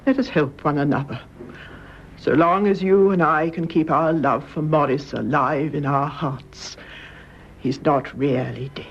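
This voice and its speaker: An actress playing a composed, stoic older mother in a radio drama, speaking in a measured, tender way that drops into hushed tones. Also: deep voice. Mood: calm, consoling. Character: composed, stoic elderly mother confessing to mercy killing. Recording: muffled, hissy old radio drama recording.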